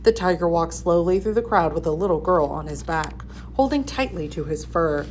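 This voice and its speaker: A woman gives an expressive storybook read-aloud. Her voice is on the lower side, and the recording carries faint room noise. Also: normal speed. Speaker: storybook reader.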